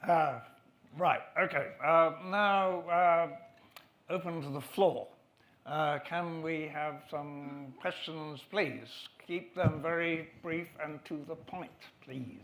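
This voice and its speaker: A man moderating a live event, giving halting, polite instructions to an audience. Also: fairly high pitch, fairly bright tone. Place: indoor club event room.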